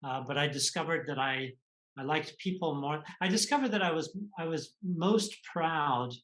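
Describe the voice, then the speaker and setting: A man in his sixties from the United States, telling his life story in a measured, reflective way as an interview guest. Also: mid-range voice, a bit bright, rough recording. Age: 60s. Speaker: guest author and executive coach. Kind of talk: conversational interview answers.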